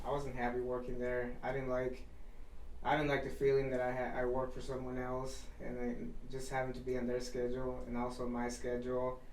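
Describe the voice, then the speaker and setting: A man's voice: mid-range voice, fairly quick, reverberant, a little hiss or hum. Young man from Guatemala, heard from a distance. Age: 20s. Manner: relaxed, rambling, many fillers and restarts. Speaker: chef, podcast guest. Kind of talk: casual interview talk.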